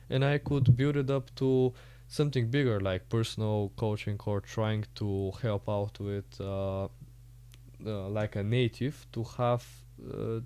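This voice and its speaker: A man with a Bulgarian accent hosts a podcast in English, his native tongue being Bulgarian. His voice is low and mellow. He talks casually and hesitantly, stopping often on "uh" as he gathers his thoughts. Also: clean tone. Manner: hesitant, many "uh" fillers. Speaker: podcast host.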